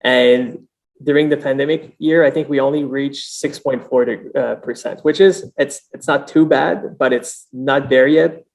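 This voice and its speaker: French canadian guy, creaky voice, mid pitch, podcast guest talking casually, a bit halting. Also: steady tone, low-quality recording. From Montreal, Canada. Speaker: podcast guest, startup founder. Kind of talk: casual interview talk.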